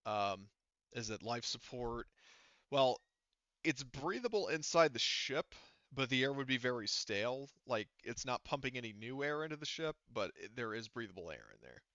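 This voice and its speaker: Bright, clear-voiced man running a game. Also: medium pitch, very animated, moderate pace, no room sound, highs cut off. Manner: hesitant, thinking aloud. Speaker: game master. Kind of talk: casual improvised game-mastering for a tabletop roleplaying game.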